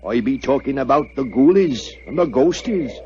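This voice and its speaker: A man's voice: mid-range voice, animated, normal speed, vocal fry, dark tone. A man playing a frightened, superstitious stable hand with a West Country English accent in an old radio drama, speaking in an ominous, rustic way. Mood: fearful warning. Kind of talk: 1940s radio acting. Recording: noisy, muffled old radio recording.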